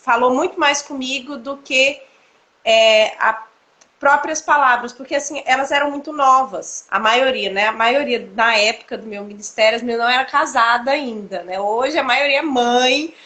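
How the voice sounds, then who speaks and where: A woman, telling her story in a casual chat over a video call, animated and quick to laugh. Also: on the high side. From Cuiabá, Mato Grosso, Brazil. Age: 30s. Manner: animated, laughs often, many fillers. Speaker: church dance ministry leader, guest.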